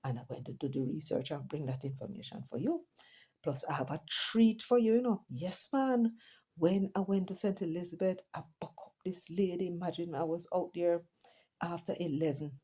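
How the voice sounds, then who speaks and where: A woman's voice: on the low side, moderate pace, mellow, husky. A middle-aged Jamaican woman hosting her channel, talking casually to viewers and switching between patois and English with chatty asides. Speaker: vlogger host. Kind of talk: casual commentary monologue.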